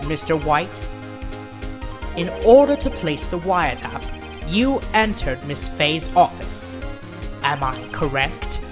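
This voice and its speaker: A man voicing a composed, condescending prosecutor in a courtroom game fandub, speaking formally and deliberately. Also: fairly high pitch, very animated, over music. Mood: confident, arguing. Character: composed, haughty male prosecutor, condescending and exacting.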